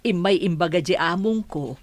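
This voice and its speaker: A woman's voice: on the low side, normal speed, dry recording, lightly acted. A woman acting a grateful, reassuring elder sister in radio drama, with an Ilocano accent. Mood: gentle, reassuring. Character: grateful, reassuring older sibling leaving hospital.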